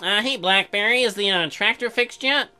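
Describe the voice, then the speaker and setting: A man reads the part of a young farmer husband from a visual novel game. He sounds high and bright, earnest yet hesitant, with mild impatience. Music runs behind. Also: moderate pace. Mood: impatient.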